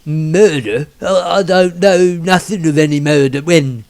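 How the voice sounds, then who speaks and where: Man voicing an anxious, high-pitched barman. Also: darkish tone, no echo. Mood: alarmed. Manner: halting, anxious. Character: intimidated male tavern barman, simple and honest.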